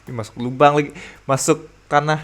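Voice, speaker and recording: A young Indonesian man giving casual, slangy, excitable gameplay commentary. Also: on the high side, fast talker. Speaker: gaming content creator. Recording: loud game music behind voice. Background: loud game music.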